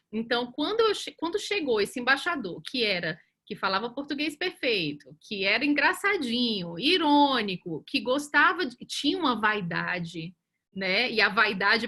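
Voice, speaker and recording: Woman, 40s, from Brazil, bright, slightly high, chatty panelist, lots of fillers. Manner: chatty, animated, many fillers and restarts. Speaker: webinar panelist, communications professional. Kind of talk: casual webinar talk.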